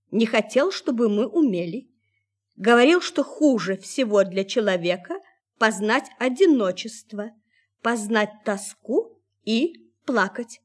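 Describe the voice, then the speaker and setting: An actress playing an ageless, gentle android mother in a radio drama, speaking with wistful resignation. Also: on the high side. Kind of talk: Soviet radio drama acting.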